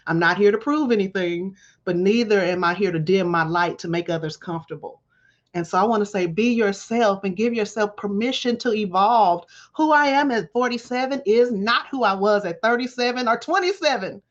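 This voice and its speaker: A woman's voice: medium pitch, highs cut off. Emphatic preachy woman in her forties. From Texas. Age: 40s. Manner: preachy, emphatic, alliterative phrasing. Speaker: guest, college dean. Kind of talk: interview, reflective testimony.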